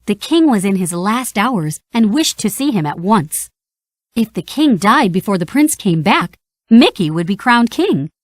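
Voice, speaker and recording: A woman narrating a read-along storybook in an animated, expressive way. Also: medium pitch, measured pace, dry recording, studio quality.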